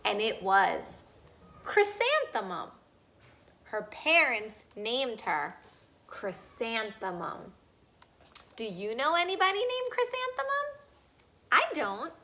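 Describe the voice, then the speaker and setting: A woman reads a children's story aloud in a high, very expressive voice. She takes her time with the sentences and turns to her young listeners with simple questions. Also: takes it slowly, pauses often. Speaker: storyteller reading a picture book aloud to children.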